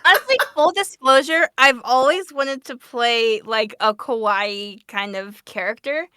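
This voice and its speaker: Bright, high-voiced woman chatting casually. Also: measured pace, clean tone, no room sound, clean studio sound. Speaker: voice actor on a fan dub Q&A panel. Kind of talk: casual panel chat.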